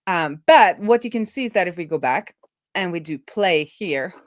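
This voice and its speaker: A woman with a fairly low voice hosts a casual coding livestream. She talks informally, like a developer telling things to regular viewers, with animated storytelling. The sound is close and dry. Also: moderate pace, no room sound. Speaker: livestream host and web developer. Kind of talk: casual live coding stream chat.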